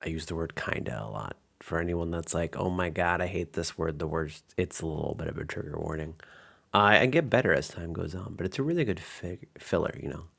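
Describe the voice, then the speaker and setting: A man's voice: low voice, expressive, brisk, gravelly, a bit bright, slightly rough. A man working as game master, narrating and commenting casually in an informal, self-deprecating way.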